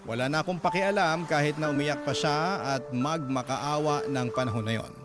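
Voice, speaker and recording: A man's voice: a little high, moderate pace, music in the background. A man hosting a radio show, reading listeners' letters as dramatic, earnest, sentimental narration.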